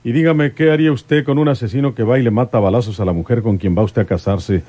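An actor playing a vengeful, plain-spoken rural detective with a Mexican accent in a radio drama. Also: male voice, mid-range voice, fast talker, dark tone, natural voice. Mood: aggrieved, insistent. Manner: rustic, deferential yet stubborn speech.

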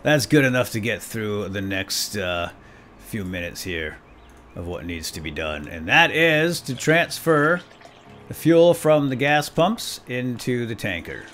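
A man's voice: fairly low pitch, animated, unhurried, fairly bright tone, faint music behind. A man giving casual let's-play commentary, playfully talking to himself with mild folksy exclamations. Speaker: gaming YouTuber, let's-play host.

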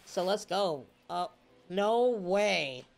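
Excitable congested young man gaming. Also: high-pitched, drawn-out words, gravelly, a little hiss or hum. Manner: excitable, yelling at the game, slangy "bro". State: congested, slight cough. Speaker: streamer. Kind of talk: casual gameplay commentary. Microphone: headset mic.

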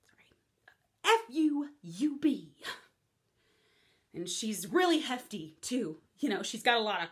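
A woman's voice: medium pitch, very animated, moderate pace, few pauses, natural voice. Woman as a brash, crude storyteller. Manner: casual, crude, rambling. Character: brash modern woman telling a bar-fight story.

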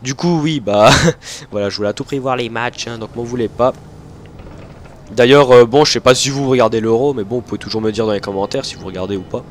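A man's voice: mid-range voice, fairly quick, a little hiss or hum. A young man with a blocked nose from hay fever, chatting casually and rambling over gameplay, with many fillers and self-corrections. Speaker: gaming video host.

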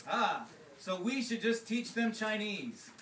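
A man's voice: high-pitched, expressive, slow, a bit bright, exaggerated voice. A man playing a puzzled, deferential royal scholar in an amateur puppet show, speaking hesitantly. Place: classroom, performers behind a draped table. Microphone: distant camera. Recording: noisy, echoey classroom from far off.

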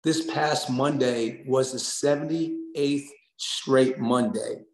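A man's voice: medium pitch, steady tone, slow, darkish tone. A middle-aged American man, a coach giving earnest, encouraging answers in a conversational interview over a video call. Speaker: interview guest, assistant basketball coach. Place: small indoor room on a video call. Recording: roomy, echoing room sound.

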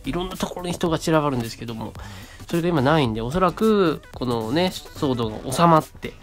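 A man's voice: on the high side, animated, fast, faint music behind. A man around thirty co-hosting a casual radio show, talking in short phrases with frequent little tag words.

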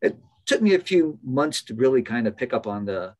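A middle-aged man, a biologist, talking casually as an interview guest over a video call from his office, relaxed and laughing often. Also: medium pitch, moderate pace, lo-fi sound. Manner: relaxed, chatty, laughs often, some restarts. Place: office with bookshelf. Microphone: video call webcam.

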